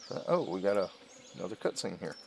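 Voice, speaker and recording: A man giving casual let's-play commentary, unhurried and thinking aloud as he plays. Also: low-pitched, very animated, gravelly, slight background noise. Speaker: gaming video host. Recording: loud game music behind voice. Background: video game music.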